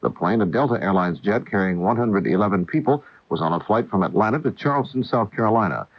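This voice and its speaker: Male news anchor, factual bulletin delivery, low register, vintage lo-fi AM radio sound. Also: expressive, quick pace. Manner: formal, factual. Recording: dull roomy 1980 AM radio.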